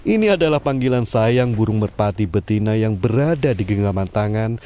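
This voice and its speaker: A man narrating an audio drama in a measured storytelling manner. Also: fairly low pitch, expressive, dark tone. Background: faint music.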